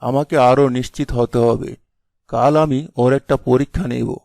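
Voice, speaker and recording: Man acting a gravelly shrewd village headman. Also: medium pitch, fairly even intonation, a little slow, a little mellow, dry recording. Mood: suspicious, commanding. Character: shrewd village headman, father of a grown son.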